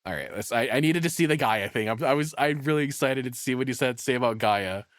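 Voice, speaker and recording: A man's voice: medium pitch, animated, fast talker, fairly bright tone, no echo. Blunt, sweary man reacting, very dry sound. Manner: blunt, profane, opinionated; rambling, thinking aloud. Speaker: streamer reacting to a video. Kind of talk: casual live reaction commentary.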